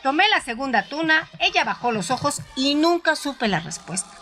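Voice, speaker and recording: A woman narrating a story in the first person in a conversational, dramatized style, with hesitant pauses. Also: sits high, animated, bright, forceful tone. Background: music.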